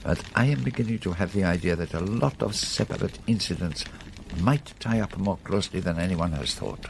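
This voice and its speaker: An actor playing a shrewd, courteous older detective in a radio drama, with a French-speaking Belgian accent and formal, precise, deliberate phrasing. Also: male voice, low voice, expressive, gravelly, noisy background. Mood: probing, measured.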